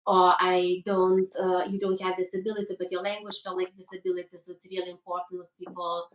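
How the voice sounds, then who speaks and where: Lithuanian woman guest, flat steady delivery, halting second-language English, roomy room sound from away from the mic. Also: lowish voice, far from the mic. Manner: halting, with frequent restarts and fillers. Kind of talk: conversational interview.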